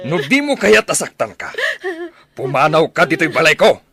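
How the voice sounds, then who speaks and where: A man's voice: a little high, expressive, moderate pace, vocal fry, pushed and bright, full character voice. A man playing a harsh, shamed, domineering father in an audio drama, scolding and commanding in a northern Philippine accent. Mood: furious.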